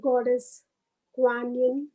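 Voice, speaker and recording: A woman with a high, mellow voice leads a guided meditation. She speaks very slowly, in short phrases set apart by pauses. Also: flat delivery, low-quality recording.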